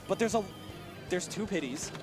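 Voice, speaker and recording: A man with a high voice calls a live esports match at a big tournament, excitable and quick to exclaim. His voice is going hoarse from hours of shouting. Music plays clearly behind him. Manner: excitable, rapid play-by-play with exclamations. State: losing his voice. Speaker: esports commentator. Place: large tournament venue.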